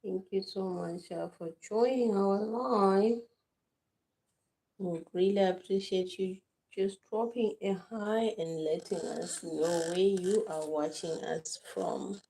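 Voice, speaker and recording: A woman from Zimbabwe hosting a live stream outdoors on a veranda, hoarse from just waking up, talking slowly with many halting fillers into a phone set on the floor. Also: mid-range voice, fairly even intonation, warm tone, poor audio. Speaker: livestream host. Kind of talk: casual live-stream chat.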